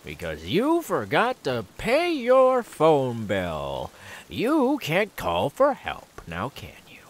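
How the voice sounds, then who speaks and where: A man playing an unhinged, menacing, mocking serial killer in a theatrical ASMR horror audio roleplay, volatile between hushed and manic. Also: mid-range voice, huge pitch swings, slow pace.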